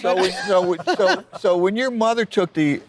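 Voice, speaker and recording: A man asking questions as a casual, conversational interviewer, slightly halting as he restarts his phrases. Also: sits high, a crowd in the background.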